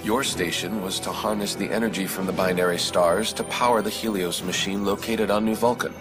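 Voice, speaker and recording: A man playing a stoic, logical Vulcan first officer in a sci-fi game, formal, precise and deadpan. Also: lowish voice, fairly quick, lightly acted. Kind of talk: scripted sci-fi game acting. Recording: music loud under the voice.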